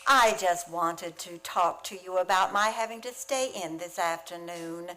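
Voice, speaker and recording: A woman playing a sharp-tongued, sardonic schoolteacher in an old-time radio comedy, theatrical and sarcastic. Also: fairly low pitch, unhurried. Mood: coolly scornful. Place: theater stage, radio play performance.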